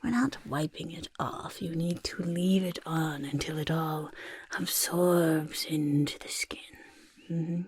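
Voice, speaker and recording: A woman voicing a boastful, evasive goblin potion-maker in soft-spoken, breathy ASMR roleplay, fussing over the listener and hesitantly backpedalling. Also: low-pitched, slow pace, no room sound, full character voice. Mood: proud, boastful. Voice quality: breathy, husky near-whisper. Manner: refers to self in third person, hesitant backpedalling.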